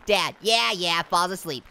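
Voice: mid-range voice, gravelly, pushed and bright, heavily acted. A performer voicing a cocky, joking talking orange who comments on a game, goofy and full of yelps. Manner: goofy, jokey, yelps and laughs. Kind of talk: cartoon character playing a game on camera.

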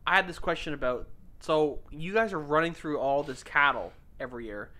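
Man, co-host, slightly high and bright voice, asks questions. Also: a little fast. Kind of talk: casual chat.

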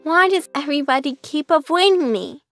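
A woman voicing a lonely, gentle young duckling in a children's cartoon, earnest and plaintive. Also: sits high, lively intonation, a little fast. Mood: hurt, sad. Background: music.

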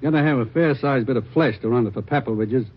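An actor playing a laconic, matter-of-fact American police lab technician in an old radio drama, on a muffled recording. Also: male voice, mid-range voice, fast talker, slightly rough, close to an everyday voice. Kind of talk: 1950s radio drama acting.

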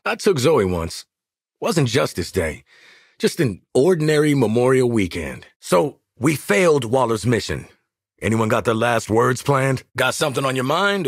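A man with an American accent voicing a cocky, sardonic marksman assassin in a video game, streetwise and wry, with a gritty, husky voice. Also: medium pitch, fairly bright tone, no echo, clean studio sound, full character voice. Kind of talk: video game combat barks and banter.

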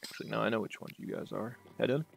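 Gravelly-voiced man rambling over a strategy game. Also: lowish voice, fast talker, over music. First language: English. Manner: rambling, sarcastic asides to himself. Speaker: gaming channel host. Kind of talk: casual strategy-game commentary.

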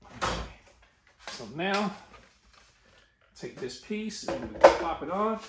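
A man's voice: high voice, animated, takes it slowly. A middle-aged American man narrating a how-to in an informal way, thinking aloud as he works. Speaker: DIY tutorial host. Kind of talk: casual how-to narration while working. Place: garage workshop, indoors. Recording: echoey garage with noise and clicks.

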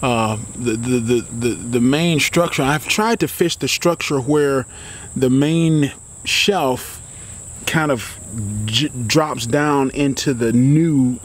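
A man's voice: medium pitch, slow pace. A middle-aged American man giving casual fishing advice to the camera in an informal, rambling, repetitive way. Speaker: fishing vlogger. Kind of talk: casual instructional talk to camera. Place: outdoors beside a building in a green yard. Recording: clearly noisy outdoor insect ambience.

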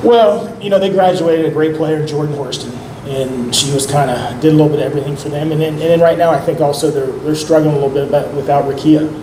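A middle-aged man, a head coach, answering post-game questions in a measured way at a press conference table mic. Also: on the high side, fairly even intonation. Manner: measured, repeats words when starting sentences. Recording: echoey room with background noise.

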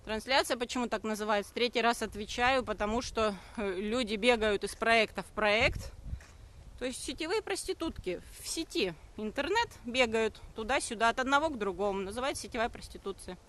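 A high-pitched woman in her thirties talks briskly into a phone held at arm's length as she walks outdoors. Her voice is bright and forceful, and she answers comments defensively, with frequent "uh"s. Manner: brisk, defensive, with many hesitations. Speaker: network marketing streamer. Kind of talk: live stream answering comments. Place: walking outdoors on a forest path.

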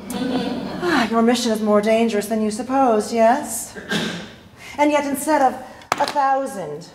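Slow, echoey, scornful lady spy acted by a woman. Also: a little high, close to an everyday voice. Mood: mocking. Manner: deliberate, dramatic, declamatory. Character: proud, cunning Russian lady spy, loyal and scornful. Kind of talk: theatrical stage acting. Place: indoor theatre stage. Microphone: distant camera. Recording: echoey stage from afar, noisy, clicks.

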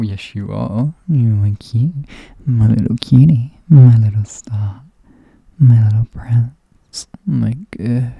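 A man voicing an affectionate, teasing partner in an intimate roleplay, speaking softly and often in a whisper. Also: medium pitch, creaky, husky, dry recording, exaggerated voice. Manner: soft, often whispered, coaxing, chuckling. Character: affectionate teasing male partner, doting, playful. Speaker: audio roleplay performer.

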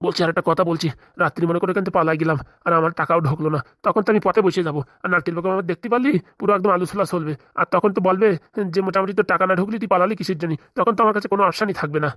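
A woman puts on a timid male lawyer for a comic cartoon. The voice is lowish and very rapid, dry in sound, with a whining, pleading manner and rural Bengali accent. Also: a bit bright, no echo, close to an everyday voice. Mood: anxious. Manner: pleading, fretful, stammering.

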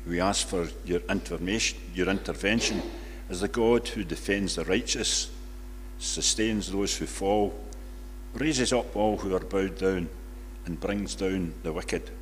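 An older man reads a public prayer in solemn, measured phrases in a church. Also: deep voice. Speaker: congregation member leading prayers. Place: large church, at a lectern. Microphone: lectern microphone. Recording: reverberant church hall, faint background noise.

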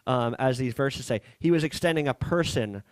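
A man in his thirties preaching a sermon at a small coffee-shop church, earnest and halting, with frequent restarts, speaking into a headset mic. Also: mid-range voice, no room sound. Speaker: pastor.

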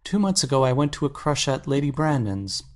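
An actor playing an earnest, anxious painter in a dramatic audiobook, speaking intensely and articulately. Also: male voice, medium pitch, sing-song, brisk, close to an everyday voice. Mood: fervent, troubled. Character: earnest, anxious male painter confessing his obsession.

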